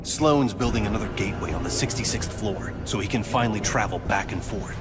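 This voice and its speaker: A man's voice: on the low side, brisk, lightly acted. A man voicing an urgent male hero from the future in a video game cutscene, terse and commanding. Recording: game audio with loud music.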